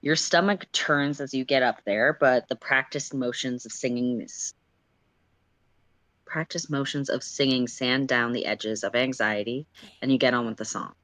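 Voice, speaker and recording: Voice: mid-range voice, normal speed, a bit bright, no room sound, low-quality recording. A narrator reading a story aloud on a casual livestream, with playful, self-deprecating asides.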